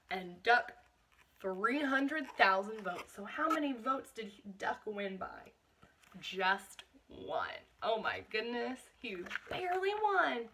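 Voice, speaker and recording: A woman reading a picture book aloud to children as a teacher, expressive, with explanatory asides and questions for young listeners. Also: fairly high pitch.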